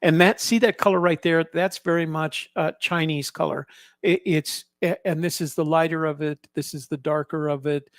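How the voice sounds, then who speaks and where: Male vlog host, casual halting explainer, crackly fry in the voice, very dry close sound. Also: a little high, steady tone, no echo. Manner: halting, explanatory, repeats and rephrases. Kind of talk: casual narrated photo commentary.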